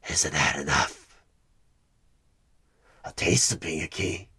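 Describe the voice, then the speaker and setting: A man playing a sinister evil tempter in a horror ASMR roleplay, coaxing and menacing in a slow, raspy half-whisper as a job interviewer. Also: a bass voice, sharp tone, full character voice. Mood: coaxing, insistent. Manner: slow, deliberate, persuasive, repeating words for emphasis.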